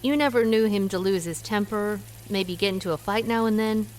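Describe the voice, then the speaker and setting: A woman's voice: mid-range voice, a little fast, darkish tone, slight background noise, lightly acted. An actress playing a worried amateur detective who narrates her own story in a radio drama. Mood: anxious. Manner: earnest, wry first-person narration. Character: worried amateur detective woman, diner owner.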